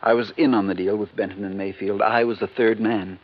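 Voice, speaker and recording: An actor playing an earnest, anxious and polite informant in a 1950s radio drama, speaking formally and insistently. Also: male voice, on the low side, fairly even intonation, a little fast, natural voice. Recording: muffled, noisy old radio recording.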